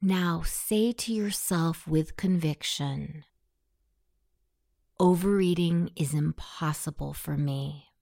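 A woman guiding a scripted hypnosis meditation in a soothing, softly breathy delivery. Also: low voice, slow pace, no room sound, studio quality.

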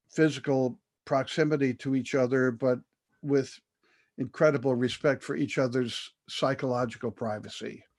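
A man's voice: mid-range voice, darkish tone. Older man recounting his years abroad. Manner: measured, thoughtful, with frequent fillers and restarts. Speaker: guest. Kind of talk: reflective interview storytelling.

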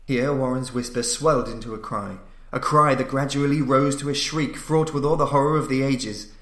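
A man's voice: medium pitch, steady tone, moderate pace, a bit bright. A man reading a gothic horror story as a dramatic audiobook narrator, solemn and suspenseful. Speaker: narrator, podcast reader. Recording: roomy, echoing voice recording.

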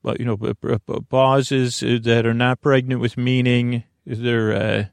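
A man with a creaky, gravelly voice hosting a sleep podcast, meandering and halting through tangents and filler words. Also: medium pitch, level tone, no echo. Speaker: podcast host. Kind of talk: rambling bedtime sleep podcast monologue.

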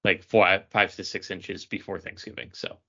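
A man's voice: low-pitched, fairly even intonation, moderate pace, sharp tone. An American man in casual conversation as a podcast co-host. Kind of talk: casual chat. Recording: dull lo-fi voice recording.

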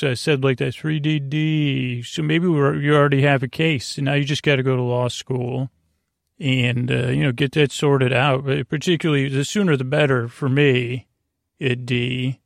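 A man hosting a sleep podcast with a halting, meandering, mumbly delivery, rambling whimsically through a bedtime monologue. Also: mid-range voice, creaky, dry recording, studio quality. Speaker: podcast host.

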